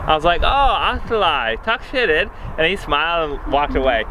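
A man's voice: on the high side, lively intonation, normal speed, sharp tone, a little hiss or hum, low-quality recording. A young man co-hosting a vlog, chatting playfully to camera outdoors in an open field. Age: young adult. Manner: playful, laughs at his own jokes. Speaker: vlog co-host. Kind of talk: casual chat to camera. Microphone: camera mic on a tripod, medium shot.

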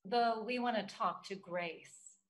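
An actress playing a stern FBI agent in a comedy table read, with a dry, businesslike manner. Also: female voice, mid-range voice, takes it slowly, natural voice. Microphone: video call.

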